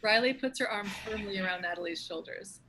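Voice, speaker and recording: A woman's voice: medium pitch, fairly quick, bright, forceful tone, a little hiss or hum. A woman narrator reading stage directions in a scripted narration style.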